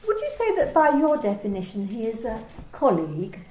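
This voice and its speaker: A woman's voice: a little high, huge pitch swings, moderate pace, a little mellow. A woman acting an officious, politely menacing manager in an amateur radio play, with formal, precise, probing questions. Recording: roomy, echoey home recording.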